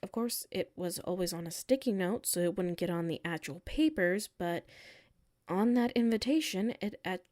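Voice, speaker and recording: A woman's voice: on the low side, animated, moderate pace, darkish tone, close to an everyday voice. A woman playing a hurt, defensive tsundere coworker with a secret crush in a roleplay audio, exasperated and emphatic, dropping into sulky murmurs. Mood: deflated, sheepish. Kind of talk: roleplay audio acting, one side of a conversation.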